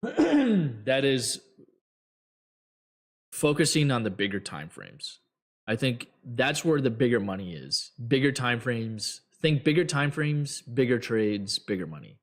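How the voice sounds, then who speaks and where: A man hosting a trading show, giving blunt, rambling advice to viewers with many fillers, his voice getting hoarse. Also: medium pitch, big pitch swings, slow pace. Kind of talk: casual advice talk to viewers.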